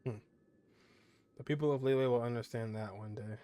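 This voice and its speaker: A man's voice: mid-range voice, moderate pace, mellow, clean tone, dry recording. A man doing casual let's-play commentary, offhand and jokey, half talking to himself while he plays. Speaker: gaming streamer. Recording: game music behind the voice.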